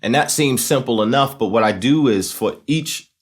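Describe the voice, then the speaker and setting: A man's voice: medium pitch, moderate pace, husky. A man hosting an instructional series, explaining things in a casual, conversational way and often checking in with the listener. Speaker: host of a talk box tutorial series. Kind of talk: casual explanatory tutorial talk.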